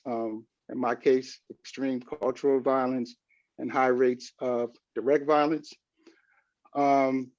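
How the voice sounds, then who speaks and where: Halting man on a dry, muffled call. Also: medium pitch, a little mellow, dry recording. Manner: halting, many filler words. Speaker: panelist, academic. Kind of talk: panel discussion. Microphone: video call.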